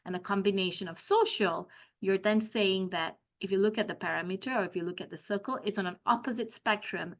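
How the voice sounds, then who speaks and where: A middle-aged woman from Malaysia, a school career coach, giving an explanatory presentation to a parent meeting with frequent "um" fillers. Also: medium pitch.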